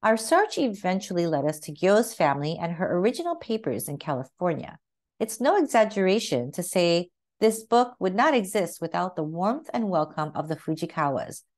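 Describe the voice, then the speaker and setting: A woman reading a children's book aloud as narrator, in a measured and expressive way. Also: lowish voice. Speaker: read-aloud host, narrator.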